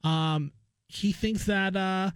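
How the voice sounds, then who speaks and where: Male sports radio co-host, high voice, emphatic and opinionated, repeats himself to drive points home, dry studio sound. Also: fairly even intonation, slow pace, clean tone, no echo. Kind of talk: opinionated sports talk debate.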